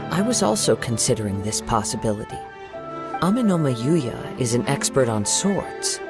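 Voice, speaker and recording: An actor playing a calm, thoughtful young swordsman in a video game, speaking in a measured, reflective and polite way. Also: medium pitch, music in the background. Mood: pensive. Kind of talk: video game character voice acting.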